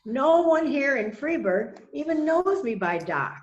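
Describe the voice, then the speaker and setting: Anxious, earnest wife played by a woman, swooping theatrical pitch, amateur script reading, muffled sound. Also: very animated, measured pace. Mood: pleading. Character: anxious wife of murdered man, protesting innocence. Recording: roomy echo, dull muffled sound.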